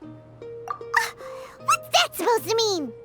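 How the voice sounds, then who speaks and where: A woman voicing a tiny, childlike, naive and excitable fairy companion in a video game, with squeaky delivery. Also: takes it slowly, full character voice. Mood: indignant, puzzled. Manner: squeaky, speaks of self in third person. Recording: loud music behind the voice.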